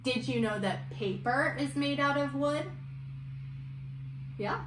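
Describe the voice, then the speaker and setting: A young woman reading and discussing a picture book for small children, in an expressive, questioning teacher's manner. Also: high voice, takes it slowly, noisy background. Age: young adult. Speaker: children's story-time teacher. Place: room at home, indoors. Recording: roomy echo, music underneath.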